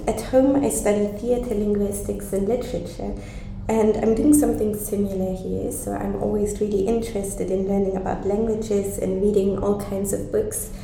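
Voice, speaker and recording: A woman's voice: mid-range voice, creaky, mellow, heavy reverb, a little hiss or hum, rough recording. A young woman with a German accent, introducing herself in a friendly, easygoing way. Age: young adult. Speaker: exchange student. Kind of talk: casual self-introduction.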